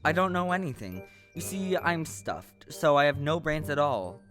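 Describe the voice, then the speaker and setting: Friendly, naive male scarecrow played by a woman for a stage audio play: low, very animated, earnest and polite. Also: moderate pace, over music. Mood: earnest, wistful. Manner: exclamatory, earnest, formally polite. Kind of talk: stage radio play acting.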